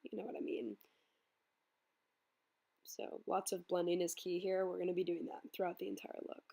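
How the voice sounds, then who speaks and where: A young woman with a slightly hoarse voice from a cold, chatting her way through a makeup tutorial voice-over with plenty of ums. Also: fairly low pitch, normal speed. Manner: chatty, relaxed, many ums. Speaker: beauty vlogger.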